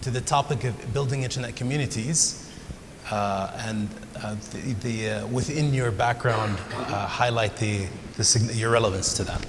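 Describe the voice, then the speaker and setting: Male panel moderator, mid-pitched, hesitant, reverberant hall with noise. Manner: halting, restarting phrases. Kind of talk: conference panel introduction. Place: large conference hall.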